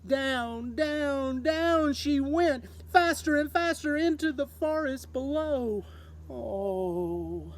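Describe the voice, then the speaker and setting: A woman reading a children's story aloud with expressive, dramatic narration. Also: high-pitched, drawn-out words. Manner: expressive, dramatic delivery with sound words. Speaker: storybook reader.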